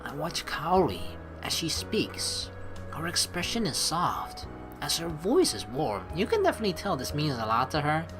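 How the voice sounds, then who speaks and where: A woman hosting a let's play, reading game narration and dialogue aloud with playful, expressive commentary. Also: sits low, moderate pace. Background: game music.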